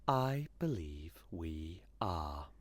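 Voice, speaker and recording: The aloof stranger across the desk in a horror podcast played by a man, deep-voiced and slow, smarmy, deadpan and quietly menacing. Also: dry recording, full character voice. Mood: aloof, dismissive. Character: aloof, smug, menacing man toying with his victim. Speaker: voice actor in a fan audio drama. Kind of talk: audio drama acting.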